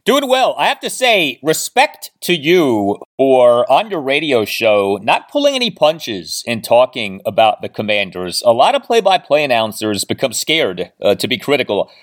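A man's voice: mid-range voice, sing-song, moderate pace, hardly any pauses. A man hosting a sports podcast, interviewing with an emphatic, announcer-like delivery. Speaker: podcast host.

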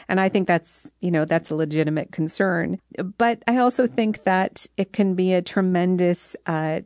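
Female author guest, deep-pitched voice, conversational and articulate, dry close recording. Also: dark tone, dry recording. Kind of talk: conversational interview, explanatory storytelling.

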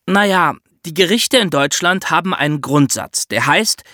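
Bright-voiced man explaining conversationally. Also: fairly high pitch, expressive, moderate pace, no echo, clean studio sound. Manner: explanatory, addressing co-hosts with examples. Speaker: podcast host. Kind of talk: conversational true-crime explainer.

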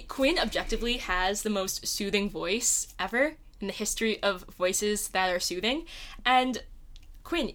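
A woman's voice: medium pitch, a bit bright. A young woman co-hosting a podcast in casual chat, with a playful, gushing and emphatic way of talking. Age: young adult. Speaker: podcast co-host.

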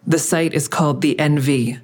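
A woman's voice: low-pitched, fairly even intonation, normal speed, a little mellow. A woman playing a grim, confrontational investigator in an audio drama, questioning in a terse, dry, needling way. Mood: probing. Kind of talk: audio drama acting with narration.

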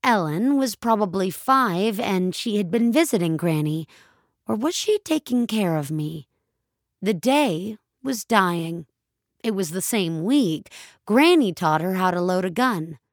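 A woman narrator reading a scripted dramatic story in a measured, deliberate way. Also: medium pitch, lively intonation, dry recording, studio quality.

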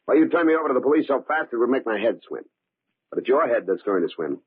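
An actor playing a cold, scheming murderer in an old-time radio drama. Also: male voice, mid-range voice, very animated, quick pace, husky, no room sound. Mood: cold, gloating. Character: cold, scheming male murderer, gloating then feigning grief. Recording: tinny, band-limited old radio recording.

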